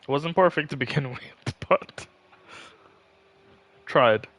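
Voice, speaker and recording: A man's voice: on the high side, slow pace, fairly bright tone, rough recording. A man giving casual live commentary while he plays a video game on stream. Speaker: game livestreamer.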